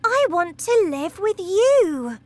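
A woman voicing a clever little schoolgirl who speaks high and urgently, pleading. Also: lively intonation, a little slow, a bit bright.

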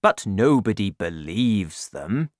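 A woman voicing a gentle, gloomy male giant in a children's story, talking in a slow, sad, matter-of-fact way. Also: rumbling low, sing-song, no room sound, clean studio sound, full character voice. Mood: doubtful.

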